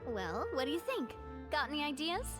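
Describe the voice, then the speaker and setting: An actress playing a bubbly, proud young guild president who laughs often and talks playfully, recorded for a game. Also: female voice, high voice, big pitch swings, normal speed, music in the background. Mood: thoughtful. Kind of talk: game voice acting.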